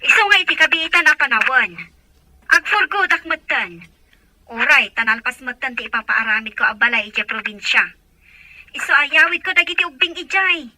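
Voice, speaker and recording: A woman's voice: sits high, lively intonation, moderate pace, sharp tone, no echo, full character voice, rough recording. A woman playing an earnest, concerned mother in an Ilocano audio drama. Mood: earnest, explaining. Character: earnest mother and older sister.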